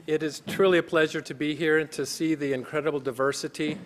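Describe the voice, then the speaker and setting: A man from the United States giving a formal conference talk as a physician, earnest and measured. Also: a little high, steady tone. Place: conference hall. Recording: long hall reverb.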